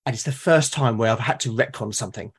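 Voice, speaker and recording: A man's voice: medium pitch, fast talker, husky. A man in a conversational interview as a podcast guest, explaining his creative process and often repeating words as he thinks aloud. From Britain.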